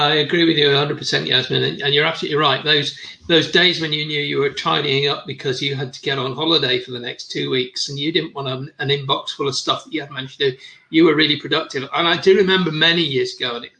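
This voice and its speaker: A man's voice: on the high side, fairly even intonation, quick pace, vocal fry, bright, forceful tone, rough recording. A middle-aged man hosting an interview, enthusiastic and agreeable, often repeating words. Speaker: podcast host. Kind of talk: casual interview talk.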